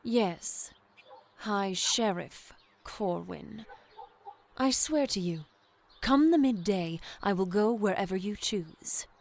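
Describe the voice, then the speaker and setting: A woman's voice: mid-range voice, animated, slow pace, gravelly. A woman playing a proud, witty, defiant accused woman of the seventeenth century in an audio drama, poised and formal. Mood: defiant, composed. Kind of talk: period audio drama acting.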